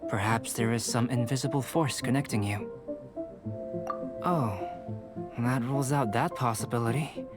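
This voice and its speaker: A man voicing a composed young alchemist in a video game, speaking in a measured, precise and detached way. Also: mid-range voice, loud music behind the voice, natural voice. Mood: musing, analytical. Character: calm, analytical young male alchemist researcher.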